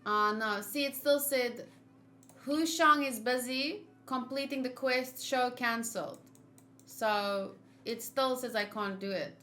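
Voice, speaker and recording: A woman's voice: on the high side, slow pace, pushed and bright. A woman livestreaming a video game, chatting casually and thinking aloud as she switches between her viewers and the game. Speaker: game streamer. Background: video game music.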